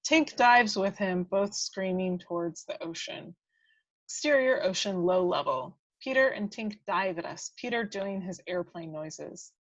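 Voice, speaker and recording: A woman's voice: mid-range voice, expressive, measured pace, no echo, dull audio. A woman reading scripted stage directions as the narrator of an amateur table read.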